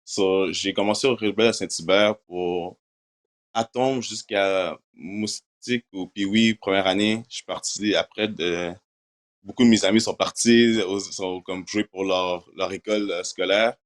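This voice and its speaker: A young man from Quebec with a notably low voice, answering questions in a relaxed interview. His first language is English, and he talks loosely, dropping English filler words between his French phrases. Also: unhurried. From Quebec, Canada. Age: young adult. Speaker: university football player.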